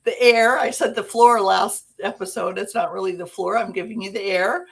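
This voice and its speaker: A woman's voice: medium pitch, fairly even intonation, moderate pace, creaky, crowd noise. A woman hosting a talk podcast, speaking casually and giving short, friendly backchannels. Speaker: podcast host.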